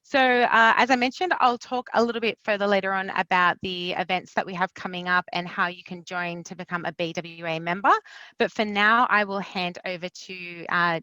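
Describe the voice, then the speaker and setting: A woman's voice: on the low side, sharp tone, no echo, dull audio. A young woman from Australia hosting and introducing a webinar, chatty and full of ums and uhs. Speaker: event host, organisation representative.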